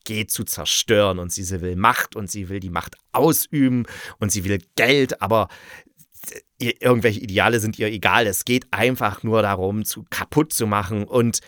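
A man's voice: lowish voice, normal speed, fairly bright tone, no room sound, studio quality. A middle-aged man giving a casual, unscripted review in a chatty, opinionated way. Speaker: radio play critic and podcast host.

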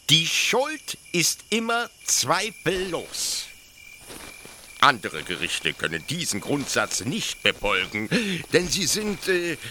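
An actor playing a proud, defensive young officer-judge in a radio play, explaining pedantically with hesitant, stammered pauses. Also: male voice, fairly high pitch, sharp tone, a little hiss or hum, exaggerated voice. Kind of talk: German literary radio play acting.

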